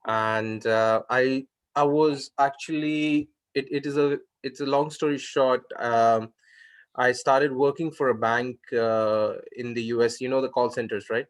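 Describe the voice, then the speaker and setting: A man's voice: mid-range voice, fairly even intonation, unhurried, clear, resonant tone. A young man from India chatting in a casual conversation, talking with many fillers and restarts. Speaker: interviewer. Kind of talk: casual video call conversation.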